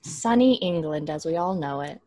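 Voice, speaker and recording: A woman's voice: deep voice, animated, moderate pace, mellow. A woman chatting casually as a guest on a video call, with a wry, joking manner. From United States. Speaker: guest performer. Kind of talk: casual chat.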